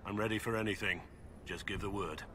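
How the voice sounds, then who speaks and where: A man playing a gruff, guarded veteran warrior in a video game, curt, dry and plain-spoken. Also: on the low side, fairly even intonation, fairly quick, fairly bright tone, a little hiss or hum, natural voice. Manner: curt, dry, matter-of-fact. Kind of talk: video game dialogue acting.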